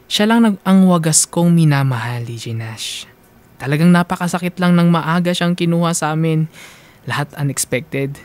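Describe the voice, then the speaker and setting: A man's voice: on the high side, darkish tone. A man reading a scripted first-person radio story in a mournful, sentimental manner. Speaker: radio drama narrator.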